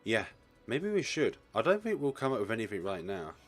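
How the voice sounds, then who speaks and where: Animated man reading game dialogue aloud. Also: medium pitch, a little fast, gravelly, soft background music. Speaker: let's play host. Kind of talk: reading visual novel lines aloud.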